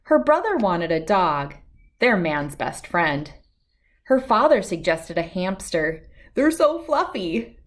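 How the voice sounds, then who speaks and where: A woman reading a children's picture book aloud in an expressive storytelling style. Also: on the low side, slow pace.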